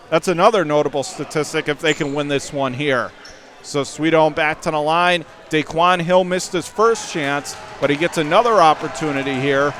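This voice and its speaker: A man calling live basketball play-by-play for radio in clipped, urgent phrases that rise into excited calls. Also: a little high. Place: indoor high school basketball gym. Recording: game crowd and gym noise behind.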